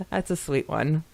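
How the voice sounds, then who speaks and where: A woman co-hosting a podcast, reading listener comments aloud and chatting casually in an animated, giggly manner. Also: deep voice, creaky. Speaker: podcast co-host.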